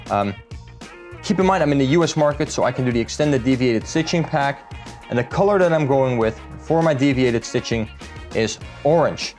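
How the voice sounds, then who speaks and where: A man's voice: medium pitch, lively intonation, moderate pace, darkish tone, soft background music. A man from the Netherlands, talking through a car build in a casual, matter-of-fact vlog style as a salesman would.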